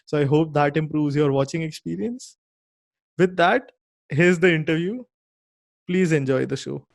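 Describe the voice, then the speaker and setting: A man hosts a podcast with a fairly high voice. He reads his scripted intro in an upbeat announcer manner, then moves into friendly interview questions. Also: moderate pace, creaky. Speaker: podcast host.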